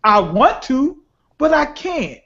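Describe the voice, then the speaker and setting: A man's voice: very high-pitched, very animated, slow pace, vocal fry, sharp tone. A man debating bluntly and profanely in a heated casual conversation. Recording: muffled lo-fi audio.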